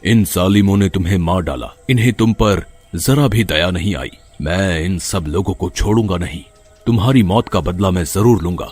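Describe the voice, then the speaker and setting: Husky, vengeful ghost acted by a man. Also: deep voice, moderate pace, a little mellow, soft background music. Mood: grieving, vowing revenge. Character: vengeful ghost of a young man inside a bear. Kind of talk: dramatic Hindi animated story acting.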